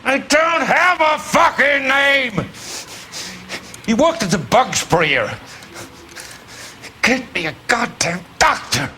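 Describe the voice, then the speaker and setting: A man's voice: extremely high pitch, big pitch swings, takes it slowly, long pauses, sharp tone, slight background noise, full character voice. An actor playing a frightened, wounded man in a video game cutscene, stammering and frantic, with a strained voice. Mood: desperate. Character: desperate wounded man begging for a doctor. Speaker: game character.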